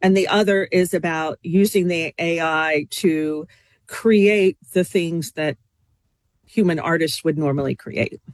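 A woman's voice: sits low, unhurried, dry recording. Probing woman interviewer, dry close sound. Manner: probing, challenging, thinking aloud. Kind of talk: conversational interview questioning.

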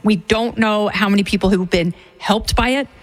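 A woman's voice: medium pitch, normal speed. A woman guest on a talk radio show, chatting casually in a sardonic, conversational way.

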